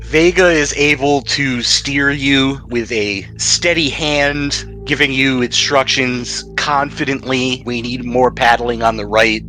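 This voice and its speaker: A man's voice: medium pitch, slow, few pauses, pushed and bright, low-quality recording. A man working as game master, narrating a tabletop role-playing game for his players. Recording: music playing behind the voice. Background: background music.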